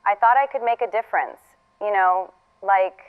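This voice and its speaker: An actress playing a weary, regretful but resolute woman in an audio drama, speaking a halting, reflective confession. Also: mid-range voice, clear, resonant tone, phone-quality sound, natural voice. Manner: halting, reflective, with sighs and pauses.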